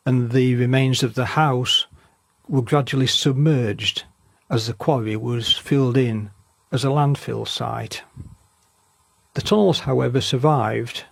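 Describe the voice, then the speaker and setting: A man with a husky, creaky voice giving measured documentary-style narration of local history. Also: mid-range voice, no room sound.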